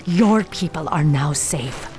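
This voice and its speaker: Cold elven huntress-warden, lowish voice, clipped and commanding, fantasy game dialogue over music. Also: female voice, expressive, slow, a little mellow. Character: hard, driven female night elf warden, cold and impatient. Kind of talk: dramatic video game voice acting.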